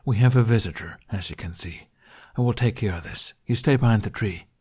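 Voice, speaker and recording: A man's voice: on the low side, brisk, a little mellow, husky. A man voicing a proud, protective young male dragon in a bland, matter-of-fact audiobook delivery. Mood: bland, firm. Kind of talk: audiobook character voice.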